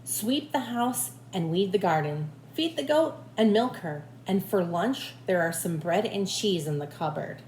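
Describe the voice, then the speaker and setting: A woman's voice: medium pitch, lively intonation, measured pace, few pauses, darkish tone, a little hiss or hum. Woman reading as a firm old grandmother witch, echoey room. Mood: firm, instructing.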